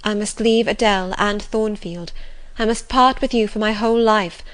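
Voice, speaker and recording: A woman's voice: medium pitch, fairly even intonation, moderate pace, close to an everyday voice. A woman voicing an earnest young governess, firm, respectful and self-controlled, in a classic novel audiobook. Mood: firm, grave.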